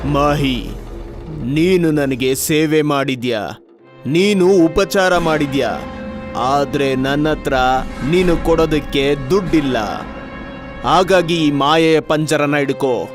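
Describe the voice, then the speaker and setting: A man voicing a solemn wandering holy man who speaks in slow, grave pronouncements over music in a horror story drama. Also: a little high, voice pushed down. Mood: solemn, grateful. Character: solemn wandering male holy man bestowing a magic gift.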